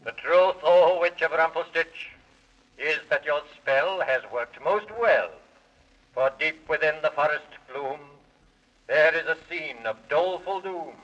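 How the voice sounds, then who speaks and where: Forceful, solemn magic mirror. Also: male voice, fairly high pitch, a little slow. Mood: solemn, declaiming. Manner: declaiming in rhyme. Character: solemn, obedient talking magic mirror serving a witch. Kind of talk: 1930s children's radio serial acting. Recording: muffled 1930s radio recording.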